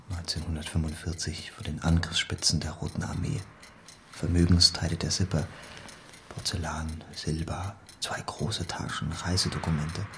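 An actor playing a loyal, guarded East German communist cadre in an audio drama monologue, deliberate and confiding, often sinking toward a whisper. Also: male voice, low-pitched, a little hiss or hum. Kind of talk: literary monologue in an audio play.

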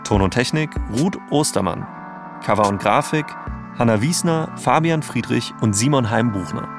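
A man's voice: medium pitch, animated, normal speed. A man with a standard German accent reading scripted narration as a podcast co-host. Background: music bed.

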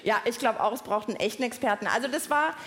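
A woman's voice: a little high, quick pace, a bit bright. A woman co-hosting a children's science podcast live on stage, with playful, teasing banter and a giggly manner.

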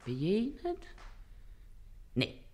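An actress playing a sly, sharp-tongued working-class washerwoman, cheeky and plain-spoken, in a theatrical radio comedy. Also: mid-range voice, huge pitch swings, very slow, heavily acted. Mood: feigned innocence. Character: sly, quick-witted, brash working-class washerwoman mother.

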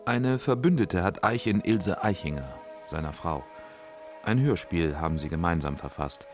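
A man's voice: deep voice, lively intonation, normal speed, faint music behind. A man reading scripted narration for a radio literary documentary.

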